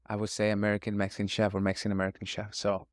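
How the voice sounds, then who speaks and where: Low, smooth-voiced man, earnest and halting. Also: monotone, no echo, clean studio sound. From Northern California. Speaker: chef and restaurant guest. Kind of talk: casual interview conversation.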